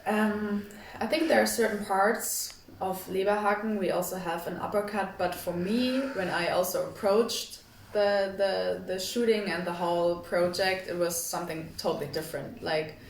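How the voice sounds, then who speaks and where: A woman's voice: medium pitch, fairly even intonation, normal speed. Young german woman answering interview qs, thoughtful, echoey room, faint noise behind. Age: young adult. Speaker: actress. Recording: echoey, distant mic, background noise.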